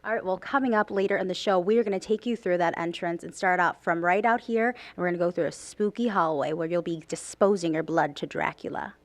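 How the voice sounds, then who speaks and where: A woman's voice: lowish voice, a little fast, hardly any pauses. A woman reporting live on location for a morning show, chatty and playful as she interviews guests. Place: on location inside a community theater. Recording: background music behind voice.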